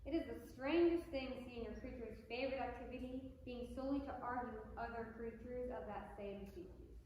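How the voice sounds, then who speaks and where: A woman's voice: on the high side, a little fast, mellow, natural voice. Woman as stern, impatient Death in an echoey room. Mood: stern, explanatory. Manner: didactic, curt, impatient. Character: Death, stern impatient male mentor reaper. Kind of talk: amateur theatre read performance. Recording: echoey room with faint noise.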